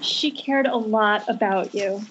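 A woman's voice: medium pitch, unhurried, close to an everyday voice. A woman reading the part of an earnest, worried young woman in an amateur horror script table read over an internet call. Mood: gentle, earnest. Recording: muffled, noisy internet call.

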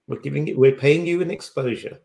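A man's voice: medium pitch, gravelly, darkish tone. Man bantering in an echoey room. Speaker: podcast co-host. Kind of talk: casual teasing banter. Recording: echoey, roomy sound.

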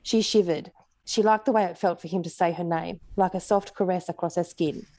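A woman's voice: on the low side, steady tone, a little fast, warm tone, dry recording. A woman with an Australian accent, an author talking casually and reading fiction aloud as a guest.

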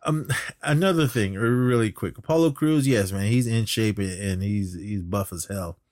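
A middle-aged man with a lowish voice talks casually as a podcast co-host. He rambles good-humouredly and is given to chuckling. The sound is dry, with very little room in it. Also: normal speed, a little mellow, no room sound. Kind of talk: casual chat reviewing wrestling.

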